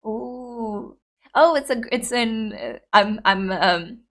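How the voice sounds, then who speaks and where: A young woman whose first language is Greek, hosting a reaction video in an excitable, chatty way full of fillers. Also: on the high side, slow. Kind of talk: casual reaction chat.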